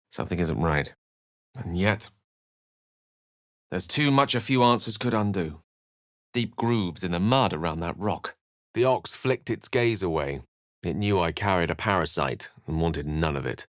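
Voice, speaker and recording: A low-voiced male wizard from a fantasy video game. He is learned and wry, phrasing his lines like a measured, theatrical storyteller. The sound is very dry. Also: dry recording. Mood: alert, musing. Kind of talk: scripted video game character lines and narration.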